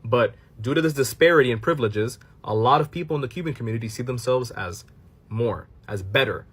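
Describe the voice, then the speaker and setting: Brief: Miami-born man, husky mid-pitched voice, reaction commentary aimed at his viewers. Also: fairly quick. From Miami, United States. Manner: explanatory, talks straight to viewers, drops in Spanish phrases. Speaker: commentary channel host. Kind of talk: casual reaction commentary to a clip.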